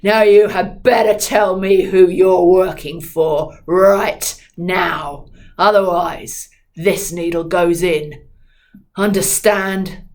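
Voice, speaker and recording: A woman's voice: on the low side, steady tone, takes it slowly. A woman voicing a tough, taunting male spy in a dramatic novel reading. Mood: menacing. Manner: forceful, taunting. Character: tough, menacing male British spy interrogating a suspect.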